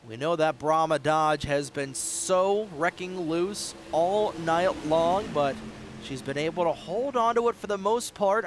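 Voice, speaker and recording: Excitable man calling a sim race. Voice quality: slightly raspy. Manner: excitable, fast-moving play-by-play with sudden rises in pitch at close calls. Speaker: race commentator. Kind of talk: sim racing play-by-play commentary. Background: race car engine noise.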